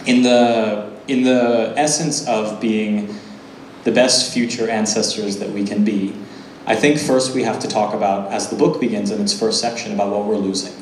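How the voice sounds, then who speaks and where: A young man interviewing an author, speaking thoughtfully with some halting starts. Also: lowish voice, reverberant, slight background noise, poor audio. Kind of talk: moderating a conversation.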